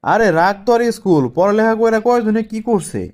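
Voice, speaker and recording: A scheming local party organizer from a cartoon, voiced by a man. He has a fairly high, clear voice and speaks colloquial Bangladeshi in a pushy way. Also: a little fast, a little mellow, natural voice. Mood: bossy. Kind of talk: cartoon dialogue.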